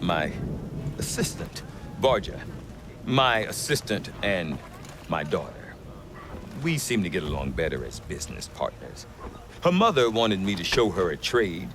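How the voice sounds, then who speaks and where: An actor playing a gruff middle-aged trader who talks with dry humor. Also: male voice, low voice, background noise, heavily acted. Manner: wry, dry humor, lively delivery. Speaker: merchant trader. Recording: game cutscene with music behind.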